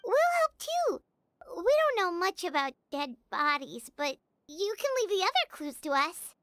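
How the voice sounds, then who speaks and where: Game sidekick: childlike fairy girl, piercingly high, very lively, earnest, dry recording. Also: moderate pace, no echo, exaggerated voice. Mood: eager. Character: tiny floating fairy girl companion, earnest, chirpy, childlike. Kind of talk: video game voice acting.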